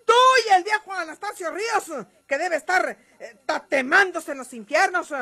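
A woman's voice: very high voice, normal speed, sharp tone, exaggerated voice. An actress playing a defiant, hot-tempered bandit's woman in a Mexican radio drama, with a northern Mexican accent and scornful rustic speech. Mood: bitter.

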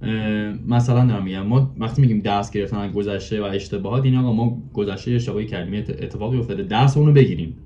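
Mellow young man, slightly muffled. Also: lowish voice, hardly any pauses. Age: 20s. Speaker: podcast guest. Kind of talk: casual chat. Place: home room with bookshelf and curtains. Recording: muffled, dull video-call sound.

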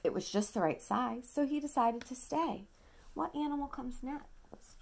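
Woman in her 30s reading a kids book at home, dramatic and slow, asks the little one simple questions. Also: high voice, vocal fry, a little mellow. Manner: dramatic, childlike questioning and prompting. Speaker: parent reading a bedtime story to a toddler. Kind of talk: expressive picture-book read-aloud. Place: small quiet room at home.

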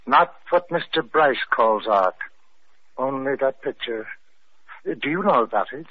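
An actor playing an eerie, devoted gaunt butler who speaks formally and reverently in an old radio mystery. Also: male voice, mid-range voice, lively intonation, unhurried, slightly rough. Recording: thin, phone-like vintage radio recording.

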